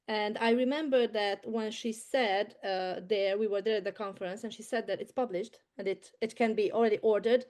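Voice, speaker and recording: Woman, Hungarian accent, soft hesitant delivery, video call guest. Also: mid-range voice. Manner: soft-spoken, hesitant, many fillers. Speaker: medical translator guest.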